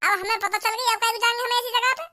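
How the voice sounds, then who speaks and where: A performer voicing a brash, hot-headed young male cartoon troublemaker, shrill and blustering, with rustic slang. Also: fairly even intonation, rapid-fire, bright, forceful tone. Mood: excited, naive. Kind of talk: cartoon comedy dubbing.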